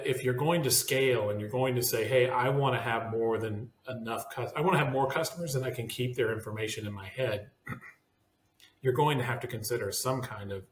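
A man's voice: medium pitch, a little hiss or hum. Rambling male podcast host, echoey room. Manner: rambling, hedging with fillers. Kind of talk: casual roundtable chat.

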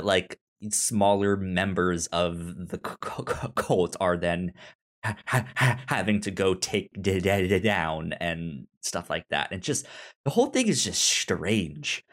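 A man's voice: sits low, animated, measured pace. A man co-hosting a podcast, chatting casually about a TV drama, his speech broken by stuttered, repeated sounds. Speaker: podcast co-host.

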